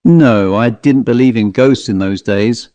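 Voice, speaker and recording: A man's voice: medium pitch, measured pace, dark tone, no room sound, natural voice. A man reading in character as a chatty, genial commercial traveller telling an anecdote in colloquial style for an audiobook ghost story. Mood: amiable, confiding. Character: dapper commercial traveller, chatty male raconteur of ghost stories.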